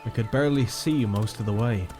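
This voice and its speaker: A man playing a stoic, loyal soldier in a fantasy audio drama, respectful and gently reassuring. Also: fairly low pitch, fast, over music, close to an everyday voice.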